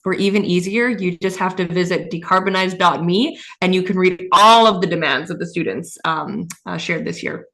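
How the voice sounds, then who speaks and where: A woman's voice: fairly low pitch, moderate pace, few pauses, bright, forceful tone. A woman hosting a live online youth event in an upbeat, chatty way. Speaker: host.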